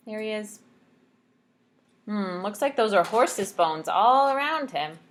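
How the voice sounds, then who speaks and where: A woman reading a story aloud to children in a teacherly, expressive way, pausing to ask questions. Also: medium pitch. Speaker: speech therapist reading aloud.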